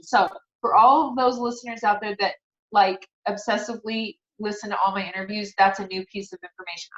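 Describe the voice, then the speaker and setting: A woman's voice: mid-range voice, a bit bright. A middle-aged woman speaking as an interview guest, sharing personal stories in an earnest, devout way. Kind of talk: casual personal interview, storytelling. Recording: dull, roomy sound.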